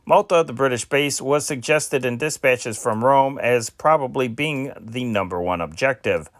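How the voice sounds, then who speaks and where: A man reading old newspaper war dispatches aloud in a measured way, now and then stumbling and restarting a word. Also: mid-range voice, dry recording, clean studio sound. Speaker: news reader.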